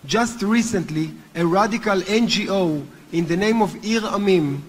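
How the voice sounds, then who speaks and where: A man's voice: high voice, slightly rough. A man with a heavy Hebrew accent, a native Hebrew speaker, gives an earnest formal speech as chairman of a movement at a conference. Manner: earnest, deliberate, with occasional restarts. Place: large hotel conference hall. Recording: long hall reverb.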